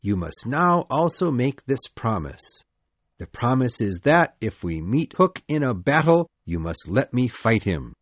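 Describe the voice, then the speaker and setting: A woman's voice: extremely low pitch, big pitch swings, a little slow, no room sound, pitched below the performer's own voice, exaggerated voice. A woman reading aloud, voicing a boastful, fearless young boy leader in a matter-of-fact, cocky way.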